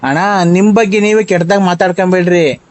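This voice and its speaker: Guy w high voice doing a prank call, rural karnataka kannada accent, keeps a straight face and insists, phone recording. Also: brisk, darkish tone. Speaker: prank caller.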